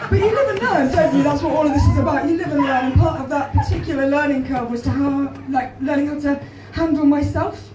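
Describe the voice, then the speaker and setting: A woman in her twenties with an English accent and a hoarse, croaky natural voice, doing a self-deprecating stand-up set with a deadpan delivery. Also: sits high, fairly quick, over music, crowd noise. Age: 20s. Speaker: stand-up comedian. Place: small comedy club stage, live audience. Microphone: handheld stage mic, filmed from far back in the crowd. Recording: echoey club recorded from far off. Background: audience laughter.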